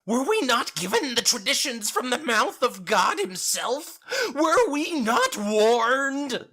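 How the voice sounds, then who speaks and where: Screeching, high-pitched zealot preacher. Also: male voice, sing-song, takes it slowly, bright, forceful tone, heavily acted. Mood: outraged screaming. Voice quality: shrill, booming screech. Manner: ranting, haughty. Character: screeching, haughty, raging young male zealot preacher. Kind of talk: audio drama acting.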